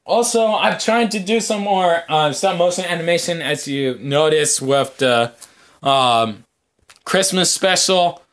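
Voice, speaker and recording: A man with a bright, forward voice that sits a little high hosts a casual podcast. He rambles to his viewers in a halting, hesitant way, often stretching out pauses as he looks for the next phrase. His overall delivery is very unhurried. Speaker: podcast host.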